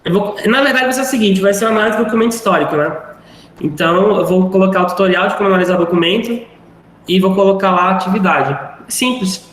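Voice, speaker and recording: A man's voice: high voice, quick pace, a bit bright. A male teacher wrapping up a live online class in a chatty, rambling way. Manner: rambling, chatty, self-deprecating. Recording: very echoey room, faint noise.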